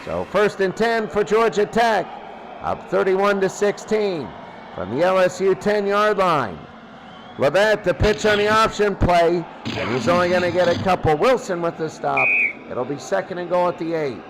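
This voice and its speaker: A man's voice: high-pitched, animated, moderate pace, background noise. A man with an American accent calling football play by play, exclaiming on big plays. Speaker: play-by-play commentator. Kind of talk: live American football commentary.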